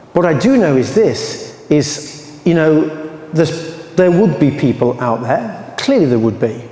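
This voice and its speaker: A man's voice: on the high side, darkish tone, a little hiss or hum. A man with an English accent speaking emphatically as a survivor advocate at a public meeting, his voice growing heated. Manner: emphatic, rising to anger, then apologetic. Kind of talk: impassioned public talk. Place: large echoey hall. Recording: roomy echoey hall with reverb.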